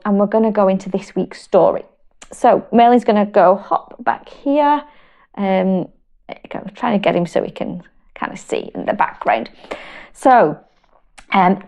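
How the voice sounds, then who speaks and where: A British woman reading a picture book aloud to children in a gentle, expressive way, rising high on her questions. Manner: slow, gentle and expressive, with big pitch lifts on questions. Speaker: storyteller and wellbeing educator.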